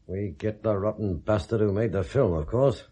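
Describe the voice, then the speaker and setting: An actor playing a shrewd, cynical English investigator, musing sardonically with deliberate emphasis in a 1970s British radio drama. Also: male voice, fairly low pitch, mellow, slight background noise, lightly acted. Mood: brooding, musing.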